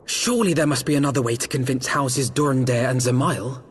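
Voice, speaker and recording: A man's voice: medium pitch, brisk, breathy edge. An actor playing a courteous, earnest young man in a fantasy game, with formal, polite speech. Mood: surprised, concerned. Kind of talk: scripted fantasy game drama. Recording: game cutscene with music behind.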